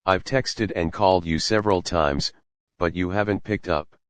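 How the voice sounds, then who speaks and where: A man's voice: deep voice, moderate pace, clear, resonant tone, no echo, lightly acted. A man playing a concerned, stern young male friend who asks firm questions.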